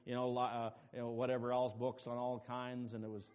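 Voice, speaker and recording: A man preaching a sermon as pastor, dropping to quiet asides and rising to loud, emphatic calls to the congregation. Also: mid-range voice, completely flat delivery, fairly quick, clean tone. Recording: long reverb with faint music behind.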